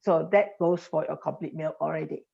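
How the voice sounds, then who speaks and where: Gravelly low woman explaining. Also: fairly even intonation, brisk, warm tone. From Singapore. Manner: explanatory, stacks examples, frequent restarts. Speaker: industry guest. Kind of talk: podcast interview. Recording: dull lo-fi podcast audio.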